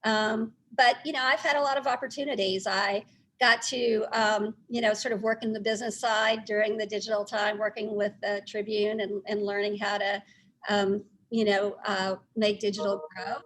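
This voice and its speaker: A middle-aged woman with a Texas accent, speaking informally and haltingly as a guest recounting her career. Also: mid-range voice, flat delivery, fairly bright tone, slight background noise, rough recording. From Texas, United States. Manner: halting, conversational. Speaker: newspaper publisher, guest speaker.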